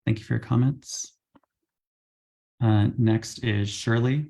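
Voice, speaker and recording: A man's voice: mid-range voice, fairly even intonation, gravelly, mellow. A man chairing a formal meeting, calling up public speakers in a procedural way. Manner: brisk, procedural.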